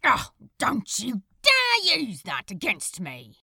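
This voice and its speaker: A woman's voice: fairly high pitch, huge pitch swings, slow, sharp tone, breathy edge, dry recording, studio quality, exaggerated voice. A woman playing an irritable, snarling male demon in a fan audio drama, with exasperated, dramatic delivery. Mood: indignant. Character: irritable, snarling male demon, defensive about his car.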